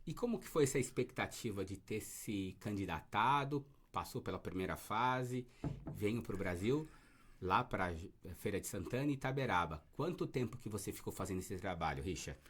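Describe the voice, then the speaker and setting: A man's voice: lowish voice, expressive, moderate pace, fairly bright tone, a little hiss or hum. A man from Brazil interviewing a guest, prompting him in a friendly way with open questions. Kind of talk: casual interview.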